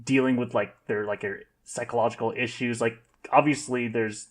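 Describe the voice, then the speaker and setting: A man talking casually as a podcast guest, halting and rambling with many filler words. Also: mid-range voice, steady tone. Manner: rambling, halting, heavy use of "like". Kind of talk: casual group discussion.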